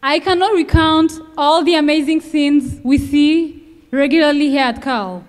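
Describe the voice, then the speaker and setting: A high-voiced young woman from Rwanda delivers an earnest commencement address. She speaks at a measured pace with emphatic pauses at a podium microphone. Her voice rings out with a long reverb from a large venue. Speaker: graduating student speaker. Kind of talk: commencement speech. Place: large outdoor ceremony venue.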